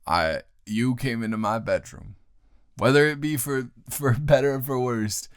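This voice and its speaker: A man improvising an intimate boyfriend roleplay as a teasing, affectionate roommate, talking in a casual, halting way with a low, gravelly voice. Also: dry recording, studio quality. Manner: casual, halting, unscripted delivery. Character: grumpy, teasing, affectionate male roommate turned lover. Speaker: audio roleplay performer.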